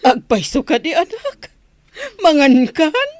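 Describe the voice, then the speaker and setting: A woman's voice: high-pitched, very animated, takes it slowly, a little mellow, full character voice. A woman playing a sorrowful, pleading mother in an emotive radio drama style. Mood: tender, relieved. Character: sorrowful, pleading mother coaxing her child. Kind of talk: Ilocano radio drama acting.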